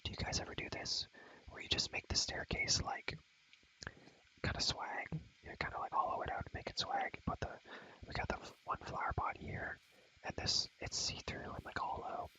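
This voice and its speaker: A man's voice: moderate pace, bright, forceful tone, rough recording. A young man whispering ASMR commentary over a game in a rambling, enthusiastic, slangy way, often repeating his phrases. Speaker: ASMR creator showing a game world.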